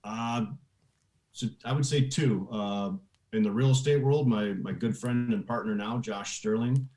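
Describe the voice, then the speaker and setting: A man's voice: on the low side, measured pace, mellow. A man giving casual interview answers as a guest, plain-spoken with hesitant starts, in a roomy, echoey recording. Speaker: podcast guest, real estate investor.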